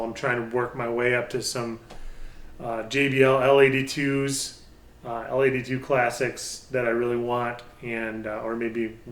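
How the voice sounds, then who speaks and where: Male hobbyist reviewer, medium pitch, roomy echoey sound, casual and unscripted, halting as he thinks aloud. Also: fairly bright tone. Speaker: hobbyist speaker reviewer and channel host. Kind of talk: casual unscripted product talk. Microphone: pair of budget stereo microphones.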